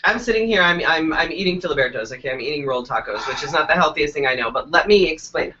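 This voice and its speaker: A stuffed-up, congested man co-hosting a casual live web show, telling a story in a profane, animated way. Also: fairly high pitch, quick pace, a little hiss or hum. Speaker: co-host. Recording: dull lo-fi web stream.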